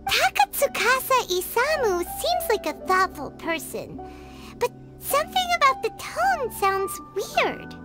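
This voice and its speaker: A woman voicing a small, curious, excitable childlike fairy companion in a video game, squeaky and given to wondering aloud. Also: measured pace, over loud music, full character voice. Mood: curious, puzzled.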